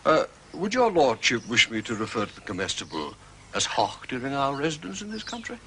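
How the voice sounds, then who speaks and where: An actor playing a discreet, deferential English manservant who speaks formally and respectfully, with polite hesitations and a quiet shrewdness. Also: male voice, medium pitch, wildly swooping pitch, a little fast, a little hiss or hum. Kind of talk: period radio drama acting.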